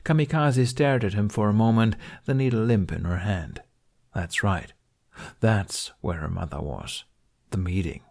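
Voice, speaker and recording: A man's voice: on the low side, measured pace, vocal fry, darkish tone. A man narrating a fantasy audiobook, reading scripted storytelling prose.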